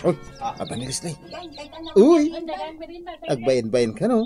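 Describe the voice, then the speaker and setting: An actor with an Ilocano accent playing a boisterous, bumbling married villager in a comedic radio drama. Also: male voice, medium pitch, huge pitch swings, slow, over music. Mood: jovial.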